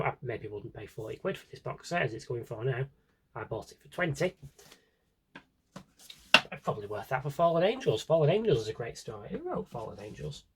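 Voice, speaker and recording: A man's voice: a little high, darkish tone, a little hiss or hum, poor audio. A British man chatting casually through a vlog-style review, wry and opinionated, with rambling asides. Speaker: vlogger showing a collection.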